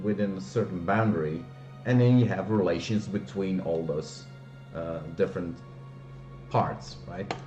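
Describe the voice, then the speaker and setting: A man from the Flemish part of Belgium, a native Dutch speaker with a Flemish accent, walking through software on a casual live stream and hesitating often with fillers and restarts. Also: fairly low pitch, echoey room.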